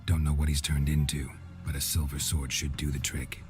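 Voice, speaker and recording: Very deep, gruff monster hunter. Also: male voice, moderate pace, over music. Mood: businesslike. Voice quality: gravelly. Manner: terse, deadpan. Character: gruff, stoic male monster hunter, laconic. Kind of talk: fantasy video game acting.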